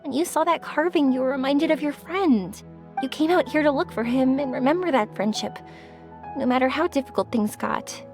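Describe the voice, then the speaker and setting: An actress playing a kind, reassuring young woman in a video game, speaking gently and encouragingly. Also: high-pitched, normal speed. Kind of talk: English video game voice acting. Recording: music behind the voice. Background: game background music.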